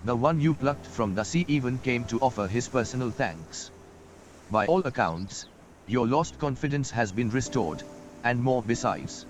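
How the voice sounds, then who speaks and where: A man playing a gruff, folksy old mentor. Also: medium pitch, animated, moderate pace, a little hiss or hum, close to an everyday voice. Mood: encouraging. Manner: folksy, wry, encouraging. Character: older male mentor, gruff and encouraging. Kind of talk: game voice acting. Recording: studio voice with game music behind.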